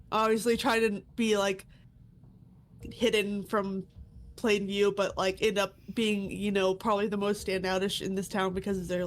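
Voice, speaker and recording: A congested woman with a stuffy nose, a player who rambles with frequent filler words and laughter. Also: medium pitch.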